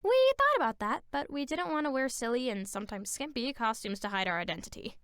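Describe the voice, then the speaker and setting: Woman as a high, lively, conscientious cartoon girl. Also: fairly quick, clean tone, no room sound. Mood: matter-of-fact. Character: conscientious chatty superhero girl, explains earnestly. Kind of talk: cartoon voice acting.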